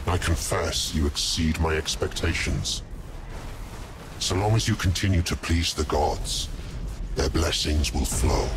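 A man playing a sinister, scheming zealot advisor to a villain in a game cutscene, with deliberate, portentous delivery. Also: low voice, noisy background. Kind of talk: dramatic video game cutscene acting. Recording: music under the voice.

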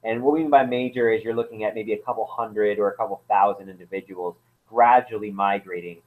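A man's voice: on the low side, steady tone, fairly quick. A man explaining as an anthropologist guest in a casual interview, hesitant, with many fillers and restarts. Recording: tinny phone-like line.

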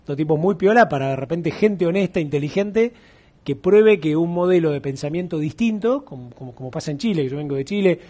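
Animated husky middle-aged Argentine host. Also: male voice, on the high side, fairly quick. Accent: Argentine Spanish. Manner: animated, gestures a lot, acts out quoted voices. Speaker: interviewer. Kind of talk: casual interview chat. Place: TV studio.

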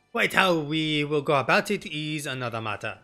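A man's voice: fairly high pitch, lively intonation, normal speed, sharp tone, faint music behind. A man voicing an earnest, courteous young elf nobleman with polite, formal speech in a fantasy game. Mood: earnest, thoughtful.

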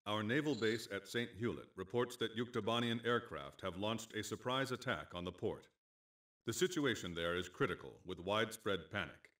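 An actor playing a sober, formal military briefing officer in a video game, delivering scripted mission narration in a measured way. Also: male voice, lowish voice, lively intonation, fairly bright tone. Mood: formal, grave.

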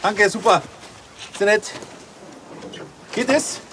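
A man's voice: high voice, slow, bright, forceful tone, a little hiss or hum, heavily acted. An actor playing a flustered, bumbling policeman, awkwardly polite and hesitant.

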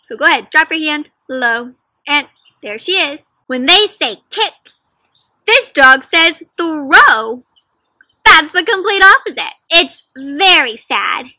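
Bright, high-voiced young woman reading to children. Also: takes it slowly, no room sound. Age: young adult. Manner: animated teacherly questioning, addressing young children directly. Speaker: children's read-aloud host. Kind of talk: interactive picture book read-aloud for young children. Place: outdoors in a leafy garden.

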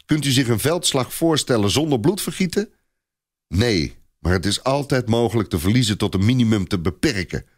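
A man playing a gruff, stubborn knight commander in a story, snapping and grumbling. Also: mid-range voice, very animated, moderate pace, pitched above the performer's own voice. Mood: snappish.

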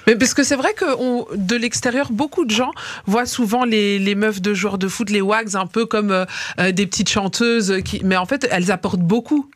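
Une jeune femme à l'belge, animatrice, qui pose ses questions d'un ton détendu et familier. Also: female voice, medium pitch, normal speed, no room sound. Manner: relaxed, slangy questions. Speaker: host. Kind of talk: casual interview chat. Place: small radio studio. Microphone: studio mic with headphones.

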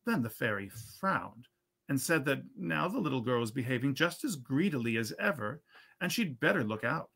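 A man in his fifties reading an old holiday tale aloud in an expressive, measured storyteller's manner. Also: medium pitch, vocal fry, breathy edge. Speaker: reader at a literary reading series. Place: indoors at a computer, live stream.

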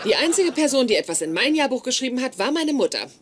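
An actress playing a socially awkward but self-assured brain scientist in a dubbed sitcom, formal, blunt and deadpan in wording. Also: female voice, sits high, fast talker, slightly rough, full character voice. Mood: matter-of-fact.

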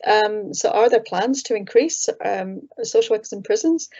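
A Scottish woman moderating a video call panel, her voice mellow and soft-edged. She speaks in a measured way as she passes on questions. The call audio sounds muffled. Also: mid-range voice. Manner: measured, thanking and relaying questions. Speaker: event chair.

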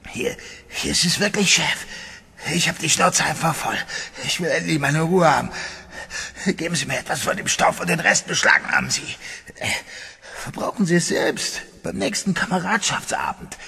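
Scratchy gravelly strung-out dealer kid, high-ish and edgy, echoey radio play. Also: male voice, normal speed, sharp tone, heavily acted. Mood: fed up. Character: wasted young male drug dealer, whiny and cynical.

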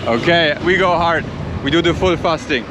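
A man's voice: fairly high pitch, normal speed. A young man chatting casually as a vlog host, upbeat and projecting outdoors. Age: young adult. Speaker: travel vlogger and host. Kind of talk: casual vlog chat to camera and passers-by. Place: mostly outdoors on busy city streets and on a motorbike. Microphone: camera held at arm's length. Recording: loud traffic and street noise.

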